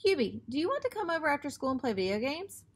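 A woman's voice: high-pitched, sing-song, fast, voice pushed up. A woman voicing an eager, chatty schoolboy friend in a children's storybook.

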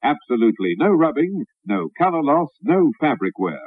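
Man doing an old radio commercial, lively pitch, phone-narrow muffled sound. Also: moderate pace, husky, dry recording. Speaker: commercial announcer. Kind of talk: 1960s radio advertisement read.

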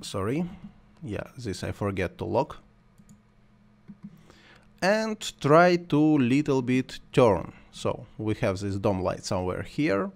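A man whose first language is Russian or Ukrainian, talking through a screen-recorded software tutorial while he works, in simple, halting English. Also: medium pitch, big pitch swings, gravelly. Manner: thinks aloud while working, simple non-native phrasing.

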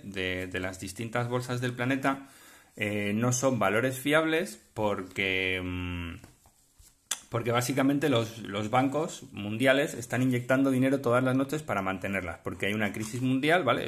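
A man with a Spain Spanish accent chatting off the cuff as a collector explaining things, halting and rambling as he checks in with listeners. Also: medium pitch, a bit bright. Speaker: vlogger and card collector. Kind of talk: casual off-the-cuff explanatory chat. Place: indoors at a table, handling cards.

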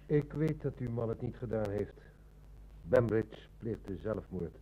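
An actor playing a composed, dry police inspector in a Dutch radio play, measured and authoritative. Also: male voice, fairly low pitch, lively intonation, dark tone, low-quality recording. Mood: composed, firm.

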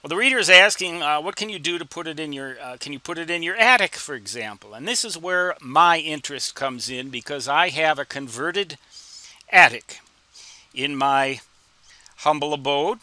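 A man explaining things as an instructor in an informal tutorial, with deliberate phrasing and wry, folksy humor. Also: fairly high pitch, lively intonation, bright, forceful tone, dry recording. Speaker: instructor answering reader questions.

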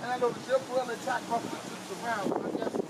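A high-voiced young man chats excitedly with car owners at an outdoor meet. His voice sits high for a man, and loud wind and engine noise roll under everything he says. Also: fairly quick. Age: young adult. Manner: upbeat, chatty, asks lots of questions. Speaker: car vlogger. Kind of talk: casual chat at a car meet.

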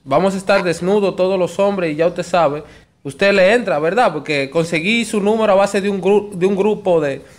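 A man's voice: high-pitched, normal speed. A man in his twenties with a Dominican accent makes a prank phone call, posing as a show promoter in a pleading, insistent way. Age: 20s. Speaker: prank caller.

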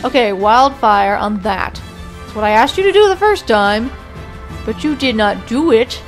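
A young woman chats her way through a game, talking back at characters with mild exclamations. Her fairly high voice sounds a touch off from allergies. Quiet game music plays behind her. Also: animated, measured pace. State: voice slightly off from allergies. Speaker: let's play gamer. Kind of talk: casual gameplay commentary.

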